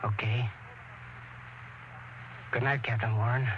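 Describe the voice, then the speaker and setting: A man's voice: mid-range voice, steady tone, slow, lightly acted. An actor playing an anxious, disbelieving crewman in a 1950s radio drama, plain-spoken and pleading, with an American accent. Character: anxious, disbelieving male crewman, loyal to his captain. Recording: muffled, noisy old radio broadcast.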